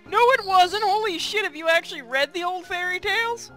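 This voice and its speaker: A woman's voice: very high voice, a little fast, fairly bright tone. A woman co-hosting a game stream, chatting casually in a teasing, sweary way. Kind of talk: casual chat, teasing co-host. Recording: music clearly playing behind voice. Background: music.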